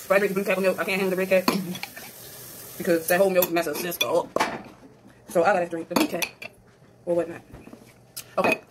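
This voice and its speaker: A young woman chatting casually to her viewers while cooking in her home kitchen, calling them y'all. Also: medium pitch. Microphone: phone camera on the counter. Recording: noisy phone recording with kitchen clatter.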